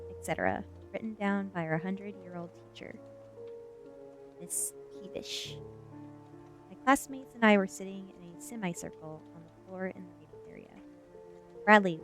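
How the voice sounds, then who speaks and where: A woman streamer in a soft ASMR style, chatting and reading a story aloud in a gentle, unhurried manner that is hushed at times. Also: mid-range voice. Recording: music behind the voice. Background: background music.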